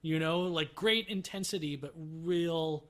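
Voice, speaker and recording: A man on a podcast panel discussing film, reflective and quick to agree. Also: on the high side, fairly bright tone. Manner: reflective, agreeable, frequent backchannels.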